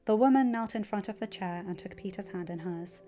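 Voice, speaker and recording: Female podfic narrator, expressive story reading, lo-fi recording over faint music. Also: medium pitch, brisk. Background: background music.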